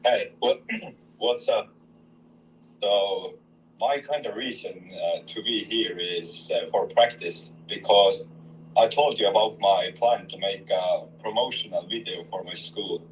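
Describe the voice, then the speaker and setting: A man in an online workshop explaining why he needs to practice speaking on camera, deliberate, with frequent uhs. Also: low-pitched, a little hiss or hum, low-quality recording. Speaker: workshop participant.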